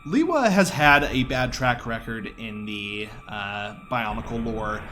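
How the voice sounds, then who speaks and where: A man's voice: mid-range voice, expressive, slow, sharp tone. Guy casually explaining a video game run as he plays, little wry asides, music in the back. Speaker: speedrunner giving live commentary. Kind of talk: casual gameplay commentary.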